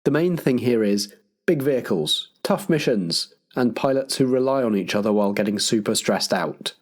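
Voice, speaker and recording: A man's voice: medium pitch, animated, normal speed. A man acting as compere, delivering scripted programme notes as a podcast host.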